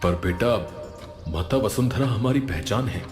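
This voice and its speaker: A man voicing an earnest middle-aged village father who gently reproves, in a moral story. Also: fairly low pitch, animated, unhurried, roomy sound, soft background music. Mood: concerned. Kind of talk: animated moral story dialogue.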